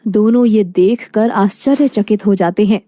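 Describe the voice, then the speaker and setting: A woman's voice: mid-range voice, moderate pace, warm tone, no echo, soft background music. A woman narrating a scripted moral story.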